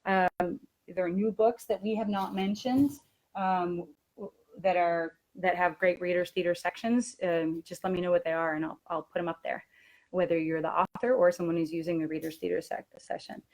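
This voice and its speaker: A woman's voice: lowish voice, little pitch movement, moderate pace, darkish tone, poor audio. A woman talking casually as she presents a webinar for language teachers.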